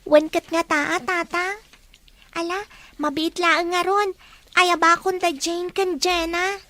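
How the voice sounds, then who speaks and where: A woman's voice: very high voice, flat delivery, takes it slowly, pushed and bright, exaggerated voice. A woman playing a respectful young daughter in an Ilocano radio drama. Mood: polite, earnest.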